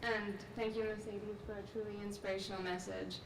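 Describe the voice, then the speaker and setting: A young woman from Sri Lanka giving a measured, earnest graduate speech at an outdoor commencement through a podium microphone. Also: mid-range voice, little pitch movement, creaky. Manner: measured, earnest, reflective delivery. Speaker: graduate student speaker. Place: large outdoor courtyard ceremony. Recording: echoey, distant, with noise.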